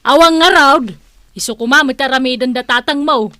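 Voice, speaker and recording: A woman's voice: high-pitched, normal speed, pushed and bright, no echo, studio quality. A woman playing a nagging old mother and wife in a radio drama, complaining in an Ilocano accent. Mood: indignant. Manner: scolding, complaining.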